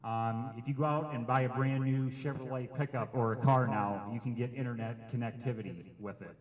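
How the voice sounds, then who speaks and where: A middle-aged man with a loud, carrying voice gives an informal public presentation in a hesitant, plain-spoken way with frequent pauses. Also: mid-range voice, fairly even intonation, big-room sound. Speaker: sheriff's detective giving a public safety presentation. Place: meeting room with an audience. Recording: amplified microphone, distant room pickup.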